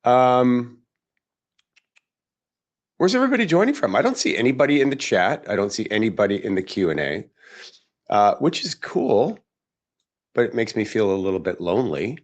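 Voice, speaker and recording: A man hosting a live podcast, chatty and upbeat, his voice hoarse and raspy from a long week of talking. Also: lowish voice, big pitch swings, normal speed, pauses often. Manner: chatty, upbeat, greeting viewers. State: hoarse, tired voice. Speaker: podcast host.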